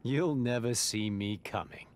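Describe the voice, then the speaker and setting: A man's voice: mid-range voice, unhurried, natural voice. A man voicing an earnest, quirky, nerdy superhero scientist in polite video game fight banter. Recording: faintly noisy game audio.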